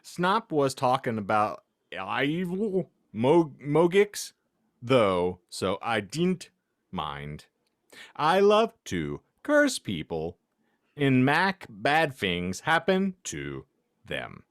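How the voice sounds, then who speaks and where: Male podcast host, theatrical comic reader. Extremely animated intonation, very slow and deliberate pace. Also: mid-range voice, no room sound, clean studio sound. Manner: theatrical delivery, often breaking into laughter. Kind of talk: comic dramatic reading of misspelled fan fiction.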